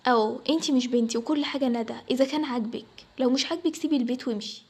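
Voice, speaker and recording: Egyptian mother played by a woman for a family story: high female voice, rapid delivery, stern and dismissive. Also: breathy edge. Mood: angry, threatening. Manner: scolding, dismissive. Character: harsh, scornful mother who favours one daughter. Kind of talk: acted Arabic family story.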